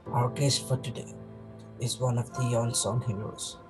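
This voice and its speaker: A man with a Nepali accent hosting a podcast, reading a measured, earnest introduction and then asking interview questions. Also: medium pitch, flat delivery, over music. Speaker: podcast host.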